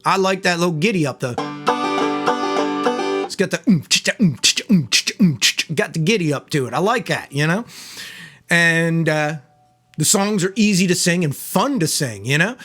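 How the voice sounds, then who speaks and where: A hoarse man giving a relaxed, chatty banjo lesson, with banjo playing under his voice. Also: fairly high pitch, normal speed, faint music behind. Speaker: banjo teacher. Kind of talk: casual video tutorial. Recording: loud banjo over the voice.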